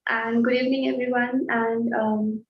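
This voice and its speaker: A woman's voice: a little high, little pitch movement, moderate pace, echoey room, dull audio. A seventeen-year-old girl from India, a brand ambassador, greeting the group politely and eagerly. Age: teens.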